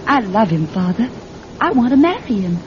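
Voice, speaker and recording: A woman acting in an old-time radio drama as a naive, lovestruck young woman, earnest and halting in her delivery. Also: big pitch swings, darkish tone. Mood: earnest, confiding. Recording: muffled, noisy old radio recording with rumble.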